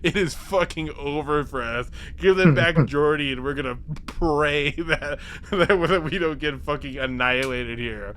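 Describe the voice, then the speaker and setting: Bright-voiced man recapping, halting and animated. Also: on the high side, slight background noise. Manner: halting, repetitive, profane. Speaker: podcast host. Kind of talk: casual comedic episode recap.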